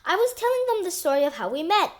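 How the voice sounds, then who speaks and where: A curious, talkative storybook boy, read by a woman. He sounds earnest and questioning, high in pitch with very lively intonation. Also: quick pace. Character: curious, chatty, slightly indignant boy narrator in a storybook.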